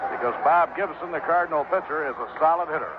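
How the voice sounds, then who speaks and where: A fairly high-voiced American man calls a baseball game live. He sounds phone-thin on an old radio recording, with heavy noise all around his voice. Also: quick pace. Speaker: baseball radio play-by-play announcer. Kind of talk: live sports commentary. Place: stadium broadcast booth. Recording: tinny, very noisy old radio broadcast.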